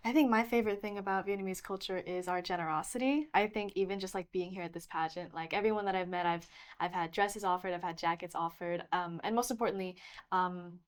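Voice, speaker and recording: A woman's voice: lowish voice, quick pace. A young woman who is a pageant candidate, upbeat and chatty, using fillers like "like" and "um".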